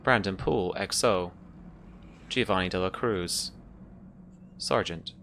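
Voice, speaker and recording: Man as emotionless ship computer, very low bright voice, measured impersonal phrasing, tabletop sci-fi game, noisy recording. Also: heavily acted. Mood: flat, informative. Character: formal, emotionless ship's computer called Mother. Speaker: game master. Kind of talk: tabletop roleplaying in character.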